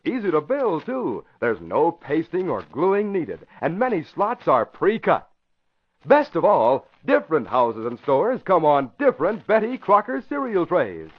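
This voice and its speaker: A man's voice: a little high, wildly swooping pitch, measured pace. A man announcing in 1940s radio style, with emphatic, polished scripted delivery. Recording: muffled vintage radio broadcast.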